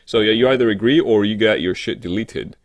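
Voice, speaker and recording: A man with a fairly low voice co-hosts a casual internet talk show. His commentary is conversational and halting, often searching for the right word as he goes.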